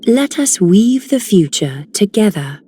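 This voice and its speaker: An actress playing a gentle, dignified weaver in a fantasy video game, graceful and formal. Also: female voice, medium pitch, sing-song, a little slow, breathy edge. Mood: grateful, serene. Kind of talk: scripted game character voice lines.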